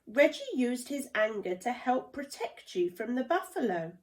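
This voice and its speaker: Kindly, expressive lion voiced by a woman. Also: fairly high pitch, normal speed, pitched above the performer's own voice, lightly acted. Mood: kindly, encouraging. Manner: gentle, earnest, persuasive. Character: kindly lion, wise peacemaker among animal friends.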